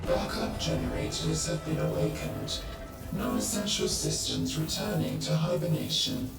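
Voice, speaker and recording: A man voicing a cold, impassive facility computer in a game, making slow, flat, mechanical announcements. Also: extremely low pitch, vocal fry, over music.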